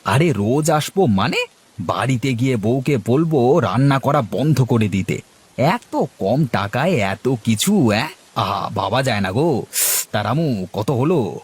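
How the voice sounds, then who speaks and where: A man voicing a jolly, food-loving middle-aged customer in a cartoon who exclaims loudly and savours every bite. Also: mid-range voice, expressive, measured pace, breathy edge. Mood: delighted.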